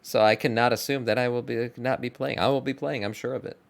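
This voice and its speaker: A man's voice: medium pitch, steady tone, fast talker, vocal fry. A man in his thirties giving off-the-cuff, informal reaction commentary as a streamer, musing aloud. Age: 30s. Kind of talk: casual live reaction commentary.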